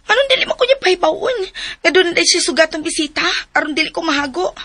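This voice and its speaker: Jealous filipino soap villainess, cebuano accent, high bright voice, melodramatic radio style. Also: female voice, expressive, fast, heavily acted. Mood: annoyed. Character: jealous, demanding woman, bitter and scheming. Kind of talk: melodramatic radio drama acting.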